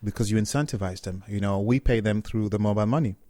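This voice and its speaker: Relaxed Cameroonian-born man, low voice. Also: fast talker, dry recording. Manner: relaxed, many restarts and repeated words. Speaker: startup co-founder, guest. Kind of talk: casual interview talk.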